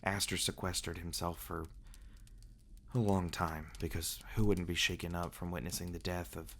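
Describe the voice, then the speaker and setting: A man acting as a reclusive male vampire with a low-pitched voice, speaking in a soft, halting murmur. He is tender and protective, in the close, intimate manner of an ASMR fantasy roleplay. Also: little pitch movement, slight background noise. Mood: solemn, thoughtful.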